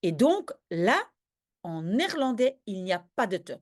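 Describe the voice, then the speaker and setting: A woman's voice: medium pitch, big pitch swings, unhurried. A woman teaching a grammar lesson in a patient, step-by-step way, often stopping to check that listeners follow. She is a native Dutch speaker. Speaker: language teacher. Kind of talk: explaining grammar in a video lesson.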